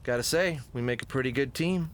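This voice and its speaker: A man's voice: medium pitch, fairly quick, noisy background, close to an everyday voice. A man playing a plucky, quippy fox adventurer in a cartoon audio drama, with a brash delivery. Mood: cocky, easygoing. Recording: loud music behind.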